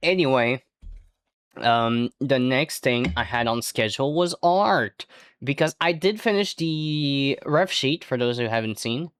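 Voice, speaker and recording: A native French speaker streaming casually, rambling and swearing with jokey outbursts that jump up in pitch. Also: lively intonation, a little slow, clean tone, no room sound, studio quality. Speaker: livestreamer. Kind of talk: casual livestream chat.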